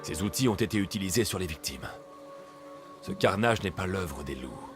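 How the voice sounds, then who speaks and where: An actor playing a determined, protective ancient Greek mercenary in a video game. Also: male voice, low voice, expressive, moderate pace, music in the background. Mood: grim, appalled.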